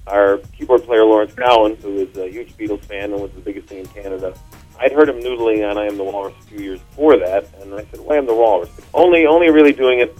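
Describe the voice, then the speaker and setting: A man with a Chicago accent talks fast as a guest on a radio interview, rolling from one anecdote into the next. His voice sits at an ordinary middle pitch, and faint music plays behind him. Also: narrow, tinny audio. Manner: rambling anecdotal, brisk. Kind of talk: radio phone interview, storytelling.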